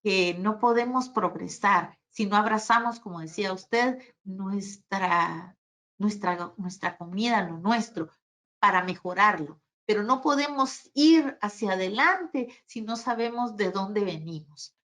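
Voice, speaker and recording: A woman with a Guatemalan Spanish accent answers questions as a guest speaker, reflective and halting, often seeking agreement at the end of phrases. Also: mid-range voice, a bit bright. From Guatemala City, Guatemala. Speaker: guest speaker, archaeologist and gastronomy professional.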